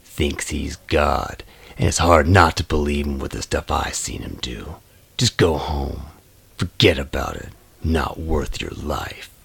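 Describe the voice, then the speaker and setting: A man playing a tough, cynical low-level henchman in a radio play, husky and gravelly, with dismissive street talk. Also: unhurried, heavily acted. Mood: defiant.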